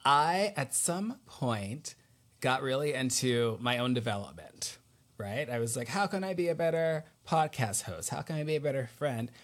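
Encouraging male podcast host, medium pitch. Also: expressive, normal speed. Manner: supportive, encouraging. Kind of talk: casual chat.

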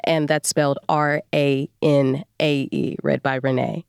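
Woman guest on a podcast just chatting, kinda low voice. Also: flat delivery, measured pace, creaky, darkish tone, clean tone, dry recording, studio quality. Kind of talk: casual chat.

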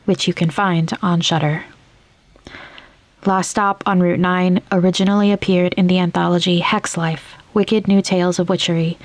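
Female host for scripted podcast intros: lower-pitched, measured, articulate, very little melodic movement. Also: darkish tone, dry recording.

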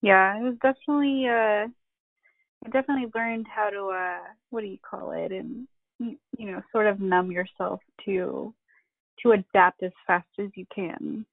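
A middle-aged woman from the Philippines, a family therapist, speaking candidly and reflectively with halting pauses and self-deprecating laughs. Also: medium pitch, vocal fry, dry recording. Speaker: podcast guest, family therapist. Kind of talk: candid personal conversation. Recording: tinny phone-like line.

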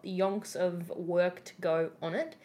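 A woman co-hosting a podcast, chatty and teasing, talking in quick bursts. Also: fairly low pitch, fairly even intonation, dark tone. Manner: bubbly and teasing, fast bursts. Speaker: podcast co-host. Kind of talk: casual chat.

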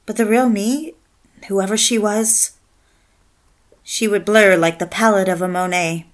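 A woman's voice: medium pitch, fairly even intonation, unhurried, natural voice. Actress playing a wistful, romantic young woman. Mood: brooding, reflective. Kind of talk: dramatic dialogue and reflective first-person narration.

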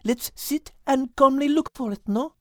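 A woman voicing a rational, fussy female animal in an audiobook story, pedantic, measured and precise. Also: high voice, darkish tone, no echo, clean studio sound, lightly acted. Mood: calm, insistent. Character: rational, fussy French-speckled female animal, overthinking know-it-all. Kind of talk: animal story character acting.

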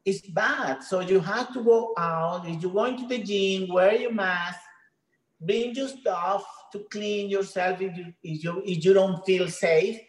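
A woman with a Venezuelan Spanish accent speaks halting, non-native English, often restarting her sentences. She is a gym trainer talking as an interview guest. Her voice rings with the echo of a reflective room. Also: medium pitch. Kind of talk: casual interview.

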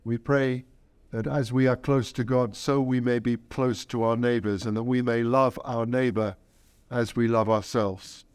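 Priest for a church service: man, smooth and unhurried, reverent prayer delivery, close dry sound. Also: mid-range voice, fairly even intonation, a little mellow, dry recording. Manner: reverent, measured phrasing with deliberate pauses.

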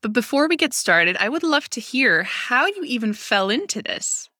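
A woman hosts a podcast with a bright, crisp voice. She reads a polished intro and asks her guest questions. The sound is dry and close. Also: a little high, big pitch swings, normal speed, dry recording. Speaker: podcast host. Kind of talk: scripted intro and interview questions.

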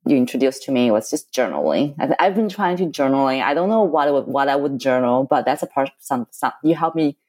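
A woman with a low, mellow voice whose first language is Chinese. She talks earnestly about her own experience, halting and repeating words as she finds her phrasing. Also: expressive. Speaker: coaching client. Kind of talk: reflective casual conversation.

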